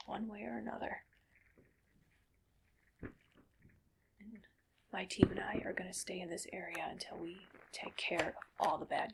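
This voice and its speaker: A woman playing a gentle, weary cyborg soldier in a whispered ASMR roleplay, speaking breathily in slow, halting, soothing phrases. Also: mid-range voice, vocal fry, a little hiss or hum, low-quality recording. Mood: calm, reassuring.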